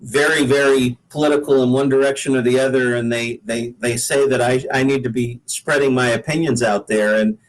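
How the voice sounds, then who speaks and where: A man's voice: medium pitch, steady tone, brisk, hardly any pauses, low-quality recording. An American man from the Pacific Northwest chatting casually as a guest singer-songwriter, explaining himself in an earnest, easygoing way. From Pacific Northwest, United States. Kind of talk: casual interview chat.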